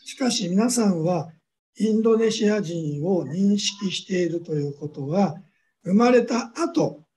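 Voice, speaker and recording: High mellow guy lecturing slowly, lots of long uhs. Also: lively intonation, a little hiss or hum. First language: Japanese. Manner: measured lecturing with drawn-out fillers. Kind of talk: academic lecture.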